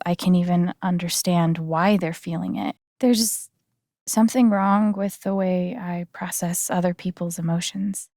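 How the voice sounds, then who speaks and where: An actress playing an earnest, gentle young woman in a video game, speaking softly and haltingly with sincere, naturalistic delivery. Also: on the low side, dry recording, studio quality.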